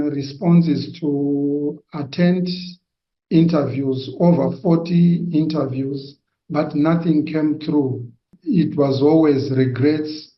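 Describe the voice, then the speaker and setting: A middle-aged man from Zimbabwe, giving a personal testimony, hesitant and full of pauses. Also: a little high. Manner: hesitant, with frequent pauses and fillers. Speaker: testimony giver. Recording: dull, roomy sound.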